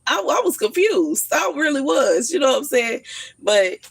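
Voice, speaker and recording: A woman in her thirties on a casual livestream, telling stories in an animated, slangy way and laughing often. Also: high-pitched, normal speed, creaky, dry recording. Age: 30s. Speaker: livestream host.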